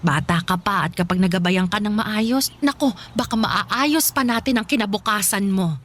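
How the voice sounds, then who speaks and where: An actress playing a kind, devout elderly Filipino woman in a radio drama, speaking gently with caring, pitying exclamations. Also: mid-range voice, normal speed, close to an everyday voice. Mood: reassuring. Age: older. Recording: music bed under the voice.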